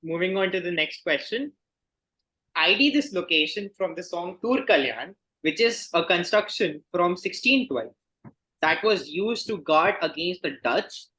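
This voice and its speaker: A man acting as quizmaster, reading out questions and confirming answers in a formal, measured way. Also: high-pitched, lively intonation, bright, forceful tone, rough recording. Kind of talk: reading quiz questions in an online quiz game.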